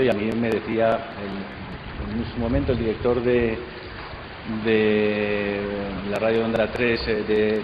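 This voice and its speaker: A man with an accent from Spain giving his opinion in an unscripted debate, emphatic and repeating key phrases. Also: lowish voice. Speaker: participant in a congress debate. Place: large hall at a radio drama congress. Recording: echoey hall, dull sound, clear background noise.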